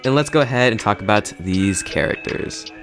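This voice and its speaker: A man's voice: fairly low pitch, moderate pace, music in the background. A young man hosting a casual video review in an enthusiastic, slangy, emphatic way. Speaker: YouTube host and film reviewer.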